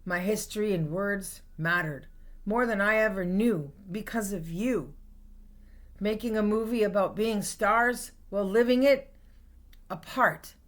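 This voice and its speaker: A Canadian woman recites her own poetry at a very unhurried pace. Her pitch sits in the middle range for a woman. She is earnest and dramatic, placing each short phrase deliberately and pausing between them. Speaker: poet reading own work. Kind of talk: dramatic spoken-word poetry reading.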